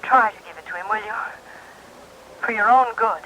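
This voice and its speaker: An actress playing an anxious, determined woman, halting yet insistent, in old radio drama style. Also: mid-range voice, over loud music, close to an everyday voice. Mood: urgent pleading. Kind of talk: 1950s radio drama acting. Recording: muffled, hissy vintage radio recording.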